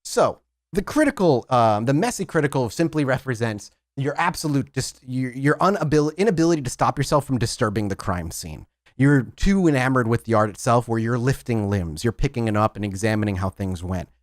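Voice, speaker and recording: A man's voice: mid-range voice, expressive, fairly quick, no room sound, studio quality. A man narrating a tabletop roleplaying game as game master, emphatic and descriptive, often rephrasing as he goes.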